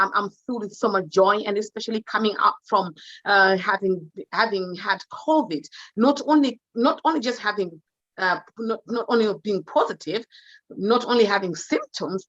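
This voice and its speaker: A woman's voice: medium pitch, fairly bright tone, no echo, lo-fi sound. A woman from Zimbabwe with a Zimbabwean accent, giving an unscripted motivational talk, emphatic and exuberant, repeating words for emphasis. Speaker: guest motivational speaker on wealth.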